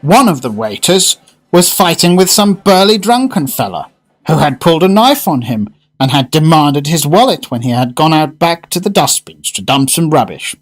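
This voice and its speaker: An actor plays a blustering British businessman in a radio drama. His fairly high male voice swings widely in pitch, brisk, chatty and excitable. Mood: breezy. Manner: animated, colloquial, grumbling. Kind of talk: fan-made radio drama acting.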